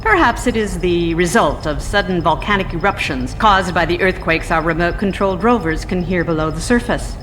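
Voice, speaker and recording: Female scientist, played by an actress: lowish voice, composed and skeptical, precise formal delivery for radio drama, long reverb. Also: fairly quick, few pauses, fairly bright tone, faint music behind, lightly acted. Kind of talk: radio drama interview acting. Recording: long hall reverb, faint noise.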